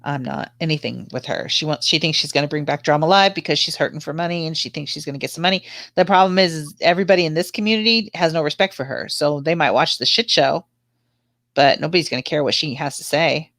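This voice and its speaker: A woman's voice: low-pitched, fast, a bit bright, no echo, clean studio sound. A woman with a slightly stuffed-up nose, chatting casually with viewers on a livestream panel. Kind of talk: casual chat with live viewers.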